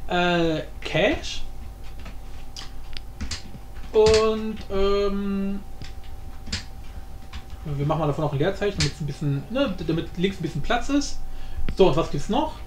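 A man's voice: high voice, lively intonation, a little slow, long pauses. A man giving a casual tutorial commentary, informally thinking aloud as he demonstrates. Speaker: tutorial host. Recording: roomy echo with background noise.

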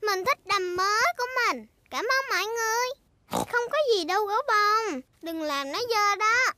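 Excitable, high-pitched little girl pig. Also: normal speed, no echo, heavily acted. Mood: excited. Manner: exclaiming, childlike, eager. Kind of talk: dubbed preschool cartoon acting. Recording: music behind the voice.

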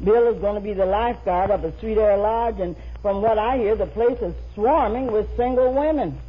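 A woman's voice: mid-range voice, normal speed, breathy edge, lightly acted. A woman playing a sassy, jealous, good-humored housemaid in a 1950s radio comedy, with a folksy, wry delivery. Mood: worried, exasperated. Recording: muffled, noisy 1950 radio recording.

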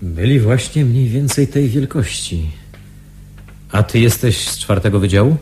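A man's voice: medium pitch, lively intonation, measured pace, mellow, slight background noise, close to an everyday voice. An actor in a radio drama playing an observant, puzzled male intelligence agent, musing and questioning as he speaks. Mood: probing, amused.

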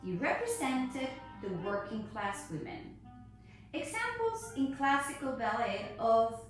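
A woman teaches in a high, expressive voice, setting out her lecture in careful, separated phrases. She sounds set back from the microphone in a very echoey room. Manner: deliberate phrasing with frequent pauses. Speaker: ballet teacher. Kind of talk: prepared educational lecture. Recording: echoey room, distant mic, music behind.

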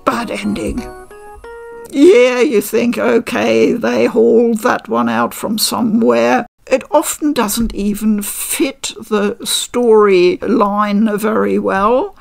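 An older woman reviewing a game from her living room at a desk mic, measured and articulate with a dry, wry humor. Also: a little high, vocal fry. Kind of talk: unboxing and review talk. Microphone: desk microphone.

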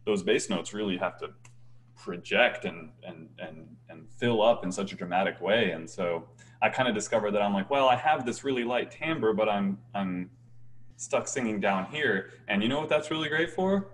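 A young man with a light, easygoing voice chats on a video call. He talks with animated swings in tone, laughs often and stumbles through restarts. It sounds like a small room at home. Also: lowish voice, normal speed. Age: young adult. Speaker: choir bass singer, guest. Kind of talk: casual video-call interview.

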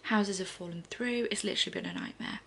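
A woman in her thirties talks casually to a camera held at arm's length, her voice soft and often half-whispered. She sounds a little congested, with a gravelly edge at mid pitch. She sits in a living room, with faint noise behind her. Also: fast talker. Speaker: vlogger. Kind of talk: casual morning chat to camera. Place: living room sofa indoors.

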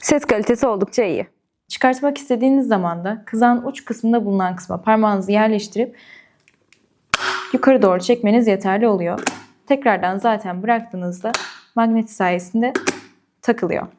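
A woman's voice: mid-range voice, moderate pace, background noise. A woman presenting a product review and unboxing, explaining step by step and addressing viewers directly.

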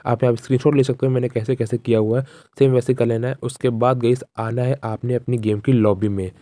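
A man's voice: mid-range voice, fairly even intonation, quick pace, warm tone, no room sound. A young man narrating a casual step-by-step phone tutorial, repeating instructions as he addresses viewers directly. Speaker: tutorial host.

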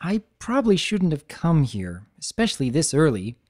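A man's voice: a little high, huge pitch swings, moderate pace, a little mellow. A man voicing a nervous, bookish young pony mare who talks hesitantly. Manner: hesitant, fretful.

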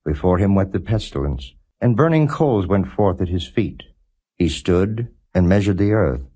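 Deep voiced guy reading bible verses like an audiobook, solemn and measured. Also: expressive, dark tone, breathy edge. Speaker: Bible reader, audiobook narrator. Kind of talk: non-dramatized scripture reading, scripted narration.

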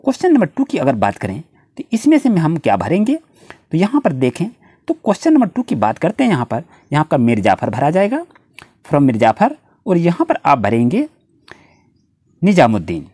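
A tutor with an Indian accent and a husky, very swooping voice, recorded close and dry. The delivery is explanatory, speaking straight to students while reading out questions and answers, and it gets halting on English passages. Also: medium pitch, huge pitch swings, warm tone, dry recording. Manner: addresses students directly, repetitive explanatory phrasing.

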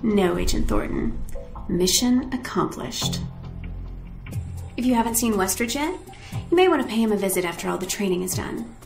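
A cool young weapons trainer in a spy game. She sounds composed and dryly teasing, at a medium female pitch. The room echoes and music sits faintly behind her. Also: expressive, normal speed, gravelly, pauses often. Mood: satisfied, composed. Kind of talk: video game acting.

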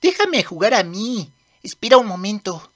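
A woman's voice: mid-range voice, sing-song, normal speed. A woman voicing a cocky young demon prince in a manga dub, brash and commanding. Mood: matter-of-fact. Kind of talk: Spanish manga dub acting.

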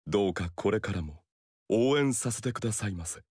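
A polite loyal retainer. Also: male voice, on the low side, lively intonation, brisk, a little mellow, slightly rough, no room sound. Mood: courteous. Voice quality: courteous, formal. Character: polite loyal male retainer, courteous and humble. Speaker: game character. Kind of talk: game dialogue. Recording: dry studio recording.

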